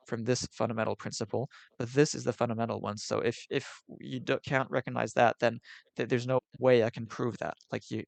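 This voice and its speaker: Gravelly man debating with many restarts. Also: medium pitch, dry recording. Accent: American-sounding with slight European tinge. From Switzerland. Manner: halting, repeats words, laughs often. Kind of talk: casual debate over a call.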